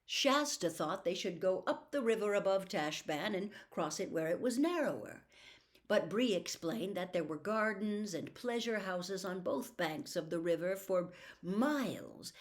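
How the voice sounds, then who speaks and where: A woman narrating a classic children's story as an audiobook reader, with expressive storybook delivery. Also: mid-range voice, a little slow.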